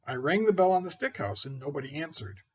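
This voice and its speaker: A man reading a children's picture book as an aggrieved, folksy wolf, expressive and incredulous. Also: fairly high pitch, fast talker, breathy edge. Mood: self-justifying. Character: aggrieved, folksy wolf pleading his innocence.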